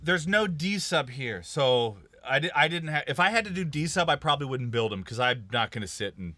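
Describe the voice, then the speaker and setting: A man's voice: on the high side, lively intonation, fairly quick, pushed and bright, dry recording. A man from Kansas hosting a casual live-stream chat about recording studios, chatty and laughing at himself. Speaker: host.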